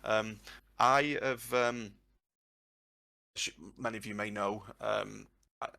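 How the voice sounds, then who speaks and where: A man's voice: lowish voice, fairly even intonation, slow pace, vocal fry, bright, forceful tone. A man in his thirties, a language tutor answering questions in a live session, long-winded and reflective. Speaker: Welsh tutor and guest. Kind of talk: live Q&A on a voice chat.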